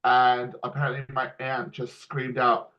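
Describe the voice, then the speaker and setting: A man chats as a panelist, telling anecdotes in an informal, sweary way. His voice is mid-pitched and the audio is slightly muffled. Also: monotone, a little slow.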